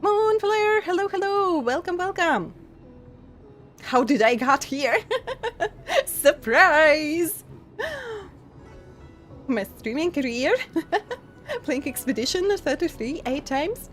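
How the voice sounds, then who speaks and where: A young woman streaming a game and chatting casually with her viewers, giggling often and pausing to restart her sentences. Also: sits high, expressive, a bit bright, faint music behind. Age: young adult. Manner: giggly, halting with many pauses and restarts.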